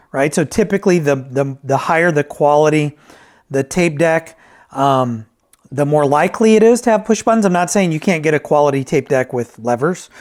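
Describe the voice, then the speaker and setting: Man, slightly high voice, casual reviewer, unscripted, rambling, self-correcting, frequent check-ins. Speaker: reviewer and repairer of vintage tape decks.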